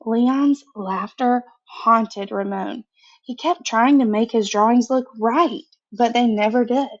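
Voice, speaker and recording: A woman with a fairly high voice reads a children's story at a very unhurried pace. Her delivery is expressive and conversational, with casual personal comments mixed in. The recording is slightly dull and lo-fi. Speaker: picture book reader. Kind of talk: children's read-aloud with casual asides.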